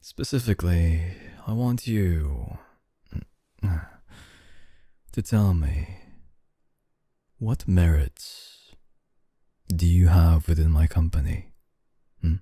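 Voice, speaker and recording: A man playing a suave, dominant, flirtatious CEO in an intimate ASMR roleplay, speaking in a teasing, deliberate way. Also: dark tone, slightly rough, no echo, studio quality, exaggerated voice. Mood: teasing, controlling. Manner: slow, teasing, deliberate, with low chuckles. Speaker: ASMR voice actor.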